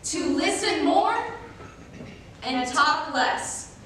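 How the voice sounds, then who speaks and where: A woman's voice: high voice, lively intonation, extremely slow, a bit bright. A young adult woman giving a rehearsed stage presentation as a performer. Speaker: college forensics performer. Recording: very echoey room, distant mic, faint noise.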